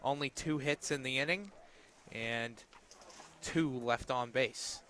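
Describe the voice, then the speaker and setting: A man doing live play-by-play commentary of a softball game for radio, outdoors at a ballfield. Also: medium pitch, slow pace.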